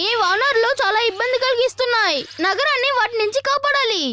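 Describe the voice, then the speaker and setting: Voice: extremely high pitch, fast talker, slight background noise. An actor voicing a young robot boy superhero, eager and bossy, in a dubbed children's cartoon. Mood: urgent. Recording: loud music behind the voice.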